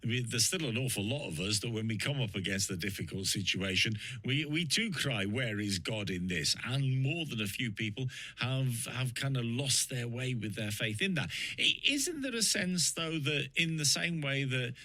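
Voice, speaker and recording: A man's voice: medium pitch, expressive, moderate pace, hardly any pauses, bright, forceful tone, dry recording. A man hosting a radio interview who asks his guest probing, leading questions. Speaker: radio presenter.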